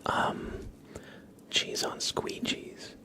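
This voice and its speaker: A man speaking in a soft, breathy whisper, chatting casually and unhurriedly as an ASMR livestream host. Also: very slow.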